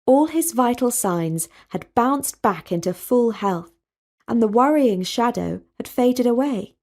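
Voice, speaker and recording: A woman reading a scripted story as its narrator, in a measured, expressive way. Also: on the high side.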